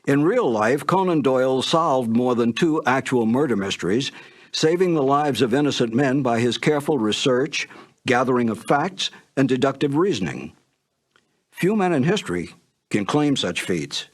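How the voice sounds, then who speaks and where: A man giving a scripted introduction in a measured, admiring manner. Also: mid-range voice, lively intonation. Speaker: narrator. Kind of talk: scripted documentary narration.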